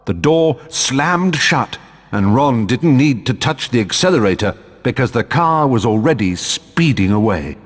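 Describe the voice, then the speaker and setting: An actor, a man with a British accent and a husky voice, narrating a fantasy adventure game like a storybook. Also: mid-range voice, animated, unhurried. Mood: dramatic, storytelling. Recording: long reverb on the voice.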